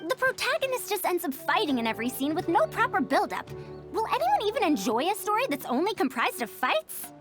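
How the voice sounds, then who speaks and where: A woman's voice: very high-pitched, huge pitch swings, fairly quick, full character voice. A woman playing a haughty, old-fashioned scholar in an anime video game, speaking precisely and pedantically. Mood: critical, puzzled. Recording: game audio over clear background music.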